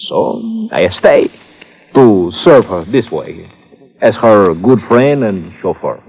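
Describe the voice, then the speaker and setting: Muffled vintage radio, genial chauffeur man, lowish pitch, chuckling, halting, sly. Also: lively intonation, darkish tone, breathy edge, exaggerated voice. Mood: genial, wistful. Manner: chuckling, halting, foreign phrasing. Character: genial, sly, fat South American ex-tennis champion chauffeur. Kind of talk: old-time radio detective drama acting. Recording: muffled old-time radio recording.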